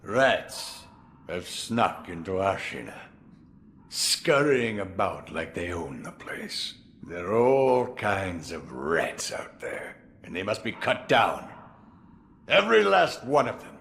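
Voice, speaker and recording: An actor playing a gruff old swordsman in a game cutscene, sly and relishing, with sudden loud outbursts. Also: deep voice, sing-song, slow pace, slight background noise, full character voice. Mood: gruff theatrical. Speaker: mentor recruiting the hero. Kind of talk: video game cutscene acting.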